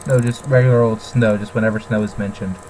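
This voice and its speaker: A man's voice: on the low side, steady tone, fast, a little mellow, slight background noise, low-quality recording. A man making dry, sardonic remarks in casual, mocking banter as a co-host. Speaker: co-host commenting on the reading.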